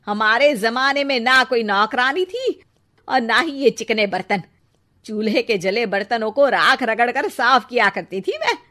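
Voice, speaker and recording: A woman voicing a cranky, sharp-tongued older Indian mother-in-law in a Hindi cartoon story, with a scolding, sarcastic manner. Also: on the high side, animated, measured pace.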